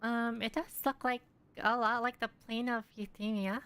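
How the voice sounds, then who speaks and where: A woman streams a game with casual, off-the-cuff commentary. Her voice sits fairly high with a bright, crisp edge, and there is faint game noise behind it. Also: level tone, moderate pace.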